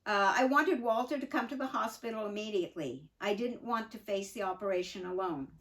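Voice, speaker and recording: An older woman reading her own memoir aloud in an earnest, reflective way, pausing for emphasis and adding unscripted asides. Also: mid-range voice, fairly bright tone. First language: English. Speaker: memoir narrator.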